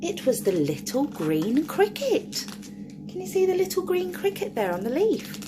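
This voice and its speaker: A woman's voice: extremely high pitch, huge pitch swings, normal speed, noisy background. A woman reading a picture book aloud to young children in a sing-song storytime voice, often stopping to ask the listener questions.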